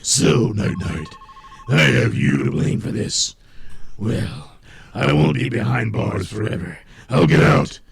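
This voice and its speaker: A man playing a gruff, crude villain in a comic superhero serial, speaking with a raspy, gravelly, menacing delivery. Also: fairly low pitch, sing-song, exaggerated voice. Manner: slow, menacing, threatening.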